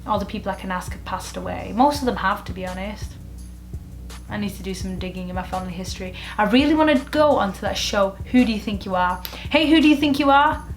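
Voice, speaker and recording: Chatty young woman vlogging. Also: medium pitch. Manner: chatty and expressive, with filler likes. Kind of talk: casual vlog chat.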